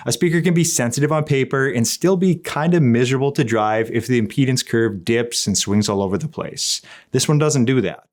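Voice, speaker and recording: A man's voice: mid-range voice, a little fast, hardly any pauses. A man narrating a conversational scripted review as an equipment reviewer, explaining things in a plain-spoken, opinionated way.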